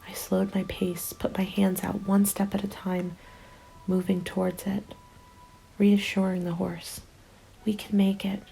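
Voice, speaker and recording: Hushed, slow-speaking weary female survivor, acted by a woman. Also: medium pitch, level tone, exaggerated voice, rough recording. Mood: hushed wonder. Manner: hushed, slow, breathy, often dropping to a whisper. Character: weary lone female survivor of a zombie apocalypse. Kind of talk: ASMR roleplay, scripted first-person narration.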